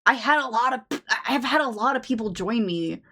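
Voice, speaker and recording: A woman's voice: fairly high pitch, expressive, a little fast, background noise. A woman hosting a stream, chatting playfully and laughing easily. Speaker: streamer host. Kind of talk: casual stream chat.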